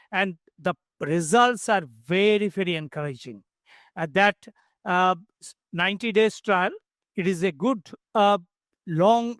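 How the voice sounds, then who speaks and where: Guy expert on a webinar, high voice, explains in halting bits, dry sound. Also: a bit bright, no room sound. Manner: halting, emphatic repetition. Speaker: expert panelist.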